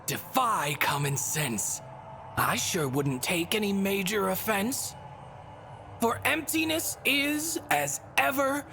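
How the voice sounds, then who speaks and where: A whimsical, cryptic goddess from a video game, talking slowly in theatrical rhyme. Her pitch swoops widely with a creaky edge, teasing and aloof, as music plays underneath. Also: a bit bright, exaggerated voice. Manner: theatrical, teasing, rhyming riddles. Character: whimsical, bored, cryptic female god speaking in rhyme. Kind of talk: video game cutscene voice acting.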